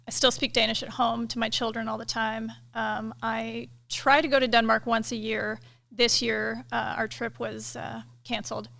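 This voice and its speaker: A middle-aged woman, a military pilot, talking through her career as a guest in a casual interview, relaxed and thoughtful, with many fillers. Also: a little high, creaky. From United States. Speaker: KC-135 pilot and director of inspections, guest.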